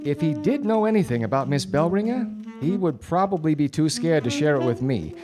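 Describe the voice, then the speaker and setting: Wry, animated 1940s gumshoe. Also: male voice, a little high, a little fast. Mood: wry narration. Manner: deadpan, wry. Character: wry 1940s hardboiled male private detective, dryly exasperated. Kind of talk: 1940s radio detective drama, noir narration. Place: theatre stage before a studio audience. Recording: live radio play with music behind.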